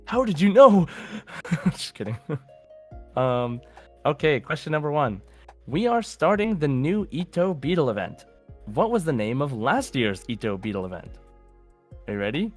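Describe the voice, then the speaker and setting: A man hosting a quiz podcast in a casual, conversational way, reading out deliberately as quizmaster. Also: a little high, big pitch swings, faint music behind.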